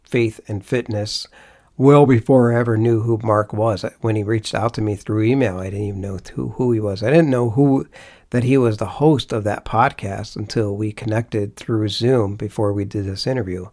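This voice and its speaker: A man's voice: lowish voice, steady tone, normal speed, a little mellow. A man hosting a podcast, reading his intro and then interviewing his guest in an earnest, friendly way. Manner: earnest, friendly, faith-centered. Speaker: podcast host.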